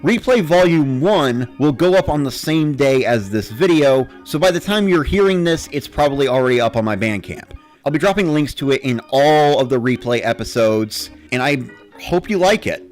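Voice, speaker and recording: A man narrating in the first person with an expressive, dramatic delivery. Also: mid-range voice, normal speed, soft background music. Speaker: narrator of a dramatic reading series.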